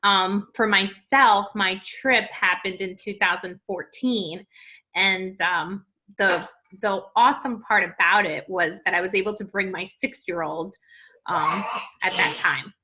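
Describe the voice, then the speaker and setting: A woman from Peru, a podcast guest in casual interview chat, talking haltingly with many ums and restarts. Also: mid-range voice, pushed and bright, low-quality recording.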